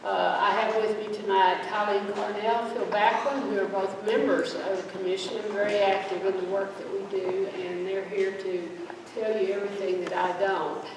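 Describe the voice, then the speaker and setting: A woman speaks on a community panel, heard from a distance in a big hall with heavy echo and faint room noise. Her delivery is halting and self-deprecating, full of filler sounds between phrases. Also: mid-range voice, flat delivery. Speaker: city council member and panelist. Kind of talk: panel presentation. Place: large echoey lodge hall. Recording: distant, echoey hall recording.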